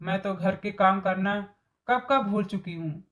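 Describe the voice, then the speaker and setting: A meek old mother-in-law in a storybook drama, performed by a woman. She speaks slowly, with a gentle, plaintive manner. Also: mid-range voice, close to an everyday voice. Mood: worried. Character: meek elderly mother-in-law, worried and remorseful.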